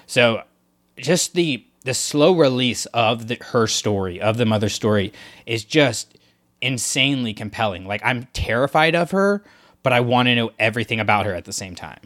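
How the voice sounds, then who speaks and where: Guy cohosting a chat podcast, mid pitch, thinks out loud, lots of "like" and restarts. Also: lively intonation, moderate pace. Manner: thoughtful, analytical, many "like"s and restarts. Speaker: podcast co-host. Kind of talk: casual discussion podcast.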